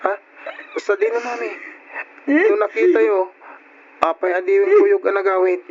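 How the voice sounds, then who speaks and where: A man's voice: high-pitched, big pitch swings, normal speed. A man playing a hurt, indignant young son in old radio drama style, plaintive and insistent, on a muffled recording. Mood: shocked, questioning.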